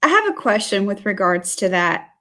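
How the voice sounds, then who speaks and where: A woman from South Georgia hosts a relaxed livestream chat, asking her guest questions in a friendly, talkative way. Her voice sits at a middling pitch and is full of easy fillers like "um" and "yeah". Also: normal speed. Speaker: livestream host, interviewer. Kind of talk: casual livestream interview.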